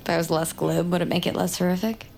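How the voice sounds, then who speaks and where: A woman's voice: lowish voice, level tone, quick pace, darkish tone, lightly acted. An actress playing an intense young woman in an audio drama, glib and socially blunt, with naturalistic delivery. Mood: glib, insistent. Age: young adult. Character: glib, intense young woman, awkward true-crime survivor.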